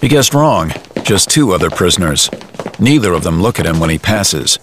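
A man's voice: sits low, sing-song, moderate pace, noisy background. A man narrating a dramatised audiobook in a wry, colloquial storytelling manner.

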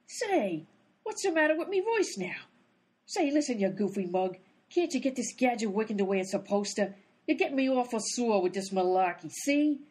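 A woman's voice: a little high, sing-song, moderate pace, darkish tone, slightly rough. A woman playing a brash, hot-tempered female private eye who wisecracks in 1930s gangster slang, in old-time radio comedy style. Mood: tough, irritated. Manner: wisecracking 1930s gangster talk, dropped grammar.

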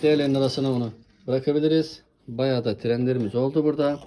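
Very low-voiced woman hosting toy showcase. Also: steady tone, fast talker, dark tone, a little hiss or hum. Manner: encouraging, often asks questions. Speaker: host presenting toy trains with a child. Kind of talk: casual toy showcase chat.

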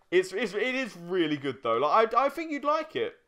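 A young man with a bright, clear-cut voice co-hosts a let's play. He talks casually while he plays, in an informal, sweary way. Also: sits high. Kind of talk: casual chat while playing a video game.

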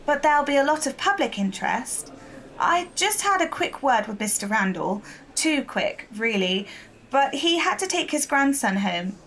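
Young female reporter, fairly high voice, eager, polite, inquisitive, audio drama. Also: very animated, normal speed, fairly bright tone, slight background noise, heavily acted. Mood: politely probing. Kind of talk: naturalistic audio drama acting.

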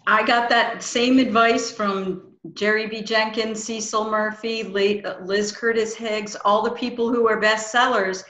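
Higher-voiced middle-aged woman in an echoey room. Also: steady tone, unhurried, hardly any pauses, a bit bright. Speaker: interview host. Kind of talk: casual interview conversation. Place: small room, indoors. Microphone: video call, computer microphone. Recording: echoey room on a video call.

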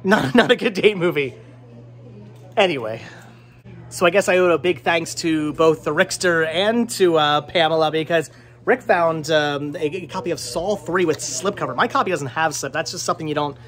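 A man's voice: fairly high pitch, moderate pace, fairly bright tone. A man in his thirties hosting a casual vlog, chatting to the camera and often repeating his words as he stammers along. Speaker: vlog host. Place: small cluttered movie and game store. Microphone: handheld camera at arm's length.

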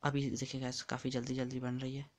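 A man's voice: medium pitch, completely flat delivery, quick pace. A man giving casual commentary while playing a block-building game, chatty and counting blocks aloud as he builds. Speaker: gamer.

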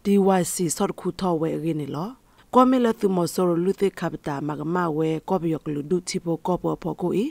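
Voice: medium pitch, a little fast, creaky, no echo. A radio presenter reading scripted news.

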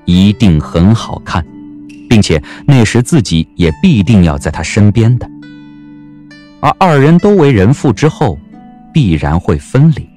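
A man narrating a historical romance audio drama as an expressive storyteller. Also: lowish voice, unhurried, faint music behind.

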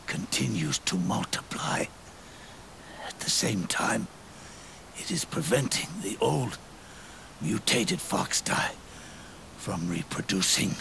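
Gravelly, slow, dying old soldier. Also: male voice, on the low side, background noise, full character voice. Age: older. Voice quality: gravelly, breathy, pained gasps. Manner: halting, solemn, labored delivery. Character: dying old legendary soldier, weary and regretful. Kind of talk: dramatic video game cutscene acting. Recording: orchestral music behind the voice.

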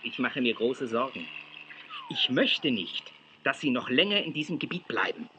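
An actor playing an earnest, authoritative wildlife official in a children's audio drama, formal and insistent. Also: male voice, medium pitch, sing-song, normal speed, highs cut off, noisy background, lightly acted. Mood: worried, stern.

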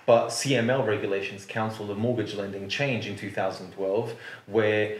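A man in his thirties hosting an interview, earnest and quick to agree with his guest. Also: on the low side, fairly even intonation, roomy sound. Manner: earnest, affirming, repeats agreement. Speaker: interviewer host. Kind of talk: conversational interview. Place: office lounge, indoors.

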